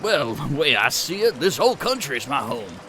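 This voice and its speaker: A man's voice: mid-range voice, sing-song, moderate pace, sharp tone, slight background noise, pitched below the performer's own voice. Howie, a man doing a folksy cheerful hobo. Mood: easygoing. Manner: drawling, easygoing country talk.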